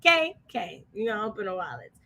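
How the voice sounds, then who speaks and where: A woman's voice: medium pitch, very animated, fast talker, sharp tone. A woman with an American accent co-hosting a casual live book discussion, speaking emphatically and repeating phrases to drive points home. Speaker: co-host of a book discussion livestream.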